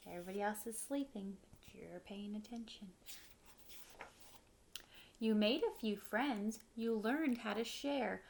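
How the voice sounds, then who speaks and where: Woman, thirties, mid-pitched voice, teacher's picture-book read-aloud, expressive delivery, simple asides for children. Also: creaky, a little hiss or hum. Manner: expressive storybook reading, simple explanations for children. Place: living room at home, indoors.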